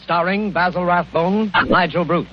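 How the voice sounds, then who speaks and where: A man's voice: high voice, normal speed. A man announcing and hosting in a polished, declamatory 1940s radio style. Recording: muffled, noisy old radio recording.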